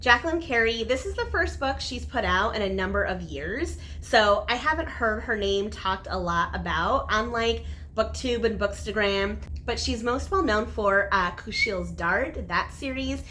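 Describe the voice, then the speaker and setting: A woman's voice: medium pitch, expressive, unhurried, hardly any pauses, sharp tone, slight background noise. A woman giving a casual, enthusiastic review to camera as a vlogger, stressing and repeating words for emphasis.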